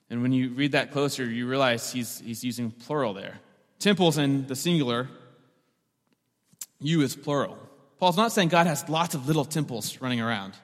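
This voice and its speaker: A man's voice: mid-range voice, long reverb tail. A man preaching a sermon as the pastor, conversational and joking, often repeating words as he restarts a thought.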